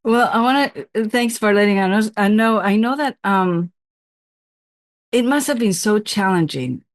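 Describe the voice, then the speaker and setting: A woman hosts a roundtable and keeps asking follow-up questions. Her voice sits in a middle pitch and is recorded dry and close. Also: a little slow, no room sound. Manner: inquisitive, asks follow-up questions. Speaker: host. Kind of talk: roundtable discussion.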